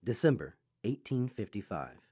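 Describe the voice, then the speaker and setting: A low-key scripted announcer reading out dates between letters in a radio drama. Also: very animated, normal speed, dark tone, dry recording. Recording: very noisy, clicking audio.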